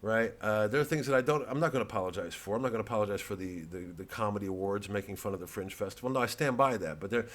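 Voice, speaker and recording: A man's voice: fairly low pitch, very fast, few pauses. An American man, a comedian, talking casually to his fans in a rambling, digressive monologue with some swearing.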